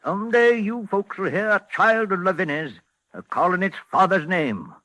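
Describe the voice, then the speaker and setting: An actor playing a half-insane, boastful old wizard with a folksy dialect, in 1940s radio style. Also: male voice, sits high, expressive, moderate pace, sharp tone, no echo. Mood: cryptic, ominous. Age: older.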